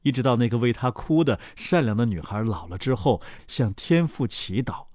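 A man narrating a fairy tale for children as the host, in an expressive scripted storytelling style. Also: medium pitch, normal speed, no echo, clean studio sound.

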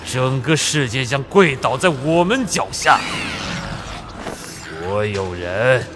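An actor playing a pompous, theatrical young villain boss in red robes, making grandiose speeches in a fantasy radio drama. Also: male voice, mid-range voice, animated, slow pace, background noise. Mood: grandiose menace.

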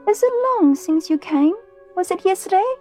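A woman voicing a curious, innocent little princess, speaking high and eager. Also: animated, normal speed, a little mellow, soft background music. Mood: wondering.

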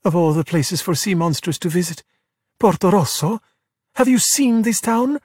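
A man's voice: a little high, normal speed, a little mellow, husky, dry recording, studio quality. A man voicing a feisty, exuberant young girl, emphatic and outspoken, in a storybook. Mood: exasperated. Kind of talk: children's storybook character voice.

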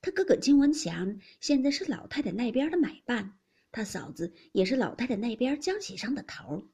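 A shrewd noblewoman speaks briskly and takes command, as a woman puts her on. Her voice is mellow and husky with lively pitch. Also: full character voice. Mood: matter-of-fact.

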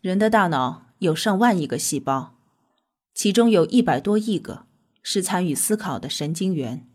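An actress playing a composed, authoritative scientist in an audio drama, explaining in a measured, instructive way. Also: female voice, fairly low pitch, lively intonation, a little mellow. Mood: grave, intent. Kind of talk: scripted audio drama dialogue.

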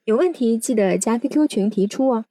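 A woman's voice: on the high side, animated, a little fast, warm tone, no room sound, studio quality. A woman hosting a podcast in a scripted presenting style. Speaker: podcast host.

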